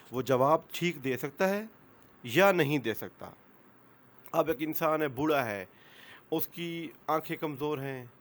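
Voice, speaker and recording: A man with a Pakistani accent giving an explanatory law lecture, repeating points, posing questions and mixing in English legal terms. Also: a little high.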